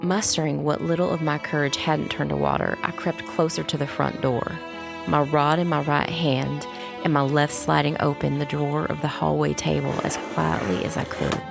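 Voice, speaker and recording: A woman playing a wary, resolute young witch who narrates a dark fantasy audio drama in a measured storytelling way. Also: deep voice, vocal fry. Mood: fearful, resolute. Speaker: first-person narrator. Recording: music bed under the voice. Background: music.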